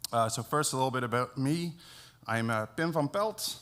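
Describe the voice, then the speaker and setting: A Dutch man speaking English with an American-sounding accent, presenting a technical conference talk. His voice sits at an ordinary middle pitch. He explains things in a relaxed, self-deprecating way. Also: moderate pace. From Netherlands. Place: conference hall.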